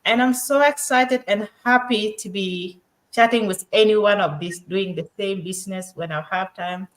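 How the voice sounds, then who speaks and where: A woman's voice: medium pitch, normal speed. A woman nurse from South Sudan introducing herself with enthusiasm on a video call from home late at night.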